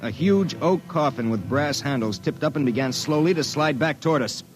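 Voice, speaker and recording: An actor playing a wisecracking young private detective who also narrates, in a 1950s radio drama. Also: male voice, on the high side, normal speed, loud music behind the voice, close to an everyday voice. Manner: wisecracking, hard-boiled.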